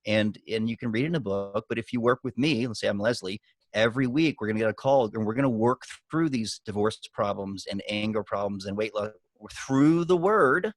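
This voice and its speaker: A man's voice: on the low side, a little fast, dry recording. A man giving business advice on a group video call as a guest coach, talking conversationally and emphatically, with anecdotes.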